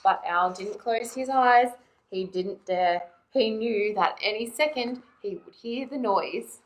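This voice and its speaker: Unhurried woman reading a children's story. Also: mid-range voice, slight background noise, poor audio. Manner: expressive, asks young listeners questions. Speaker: children's librarian and storytime presenter. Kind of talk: children's picture book read-aloud.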